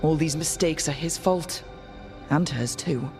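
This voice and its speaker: Actor doing a determined game hero, medium pitch, earnest natural talk w loud music. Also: a little slow, lightly acted. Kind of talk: naturalistic video game dialogue.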